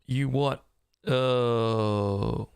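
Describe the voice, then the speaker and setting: A man's voice: medium pitch, steady tone, very slow, vocal fry, a little mellow, clean tone, no room sound. A man giving casual, off-the-cuff commentary as he watches a game's cutscenes. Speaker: content creator watching and commenting on game cutscenes.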